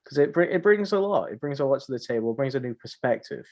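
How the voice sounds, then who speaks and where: A man's voice: medium pitch, animated, fast talker, darkish tone, lo-fi sound. A man hosting a casual podcast chat, somewhat hesitant and often repeating his phrases. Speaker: podcast host.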